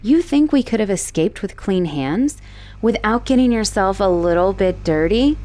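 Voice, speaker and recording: A woman playing an obsessive, manipulative young woman who escaped an asylum, in a teasing, expressive roleplay monologue spoken straight to the listener. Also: mid-range voice, normal speed, close to an everyday voice. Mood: irritated, scolding. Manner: teasing, possessive, expressive, swinging between sweet and exasperated. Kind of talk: dramatic roleplay audio monologue addressed to the listener.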